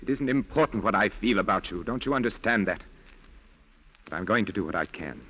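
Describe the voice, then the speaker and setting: Stern, expressive lawyer, vintage radio. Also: male voice, on the low side, brisk, husky. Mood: resigned, grave. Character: principled, stern male defense lawyer, torn. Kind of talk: 1940s radio drama acting. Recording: muffled 1940s radio broadcast recording.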